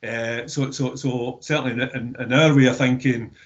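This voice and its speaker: Halting Scottish man on a call. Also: medium pitch, steady tone. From Scotland. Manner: halting, many repeated words and restarts. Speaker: recycling company representative. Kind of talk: webinar discussion. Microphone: video call. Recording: dull lo-fi call audio.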